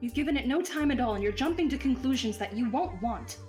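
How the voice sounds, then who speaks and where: Woman playing a haughty, high-voiced villain's minion. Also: expressive, quick pace, darkish tone, faint music behind. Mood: resigned warning. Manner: formal, persuasive, disdainful. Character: loyal, haughty female minion of a vampire lord. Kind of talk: scripted ASMR roleplay monologue.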